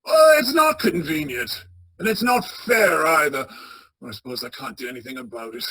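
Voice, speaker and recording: A bitter old miser from a radio play, acted by a man, curt and full of scorn. The voice sits fairly high and cuts very sharply as it grumbles at everyone around. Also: expressive, moderate pace, sharp tone, exaggerated voice. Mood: grudging, grumbling.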